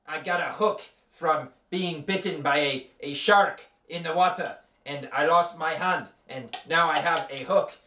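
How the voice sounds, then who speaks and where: A swaggering pirate captain, as a man puts him on, all bluster and threats of the plank. His voice sits fairly high and bright, with hard edges. The room echoes around it, picked up from some way off the mic. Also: distant mic, a little hiss or hum. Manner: growls "arr", threatening, bragging. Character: boastful gruff male pirate captain.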